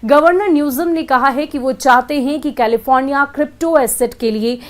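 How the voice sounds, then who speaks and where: Female news presenter, Indian accent, notably high voice, reading scripted bulletin copy. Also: normal speed.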